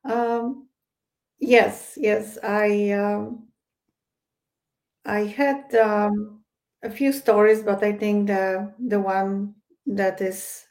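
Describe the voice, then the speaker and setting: A woman's voice: mid-range voice, fairly even intonation, drawn-out words, long pauses, darkish tone. A woman with a Polish accent, a guest psychic medium, speaking in a hesitant interview style full of fillers and restarts. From Poland. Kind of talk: casual interview chat.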